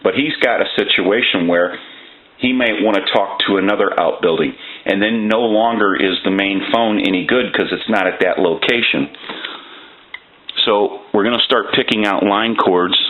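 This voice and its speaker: A man's voice: low voice, normal speed. A man giving a casual hands-on demonstration as a repair technician, explaining step by step in a plainspoken way. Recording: tinny phone-like band, loud noise.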